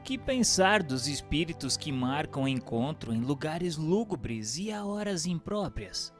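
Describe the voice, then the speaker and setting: A man playing an earnest questioner, reading questions and notes formally and deliberately in a scripted radio drama. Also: a little high, very animated. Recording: long hall reverb, music bed.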